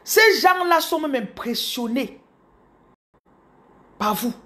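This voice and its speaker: Cameroonian woman in her thirties, higher voice, animated phone vlog to followers. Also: takes it slowly. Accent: Cameroonian French. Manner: animated, repeats words, addresses viewers directly. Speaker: business coach. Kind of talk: casual motivational storytelling. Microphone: phone camera held close.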